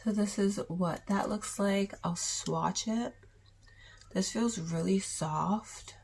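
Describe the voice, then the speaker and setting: A young woman with a sore throat and cough, chatting casually in a raspy voice through a makeup haul vlog. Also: mid-range voice, takes it slowly, a little mellow, slight background noise. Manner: chatty, rambling, with many ums and likes. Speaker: beauty vlogger.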